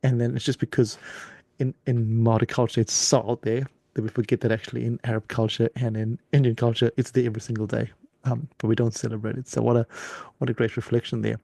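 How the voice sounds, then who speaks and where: A man from Zimbabwe hosts a conversational podcast, talking quickly in a low, gravelly, mellow voice. He responds warmly to his guest and often repeats words as he works through his thoughts. The sound is dry and close. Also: level tone, no room sound. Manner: warm, affirming, repeats words as he thinks. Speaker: podcast host. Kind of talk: conversational interview.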